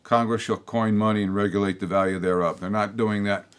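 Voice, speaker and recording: An older man hosting a local public-access opinion show, talking bluntly in a meandering way with many restarts and asides. His voice is slightly hoarse from a throat tickle. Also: fairly low pitch, steady tone, brisk. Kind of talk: rambling opinion monologue to camera.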